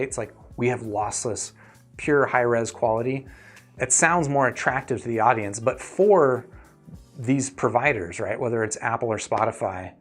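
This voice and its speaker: A man hosting a live stream, talking casually and explaining his thinking to viewers in a conversational way. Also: mid-range voice. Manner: conversational, explanatory, often checking in with "right?". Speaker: host of an earphone review live stream.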